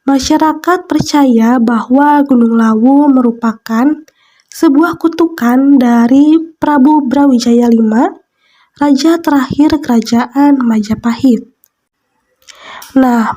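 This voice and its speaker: A woman with a stuffy nose from a cold, chatting casually while eating on camera and reading a spooky story aloud, often saying "nah" between thoughts. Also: sits high. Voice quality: nasal. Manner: slow, soft, frequent "nah" fillers while eating. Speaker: mukbang vlogger. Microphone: close mic for eating sounds.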